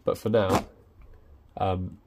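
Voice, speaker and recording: A young man chats through an unboxing, his voice pitched very low. He hesitates often and restarts his sentences. A faint background noise sits under the recording. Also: flat delivery, slow. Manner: hesitant, lots of ums and restarts. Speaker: tech reviewer. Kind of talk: casual unboxing commentary. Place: indoors at a desk.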